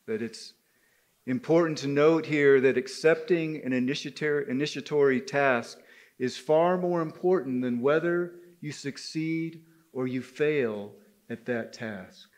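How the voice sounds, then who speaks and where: A man telling a story to a live gathering in a deliberate, dramatic way, with pauses, as the leader of the group. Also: medium pitch, darkish tone. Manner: deliberate, pausing, addresses listeners as brothers. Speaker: storyteller leading a men's spirituality gathering.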